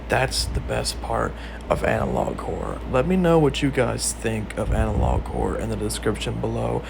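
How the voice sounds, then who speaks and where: A man with a creaky voice giving earnest, emphatic video essay commentary on horror. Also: medium pitch, expressive, moderate pace, noisy background. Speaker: video creator and host.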